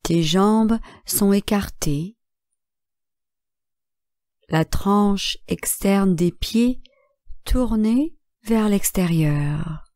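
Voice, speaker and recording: Very slow, mellow woman guiding relaxation. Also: mid-range voice, no room sound, clean studio sound. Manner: calm, measured, instructing in short phrases with long pauses. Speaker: yoga nidra instructor. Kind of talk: guided relaxation meditation.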